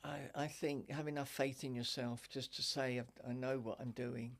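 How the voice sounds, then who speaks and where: An older woman with a deep voice, a stand-up comedian telling wry anecdotes in a relaxed interview chat. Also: moderate pace, gravelly. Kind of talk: casual interview chat, storytelling.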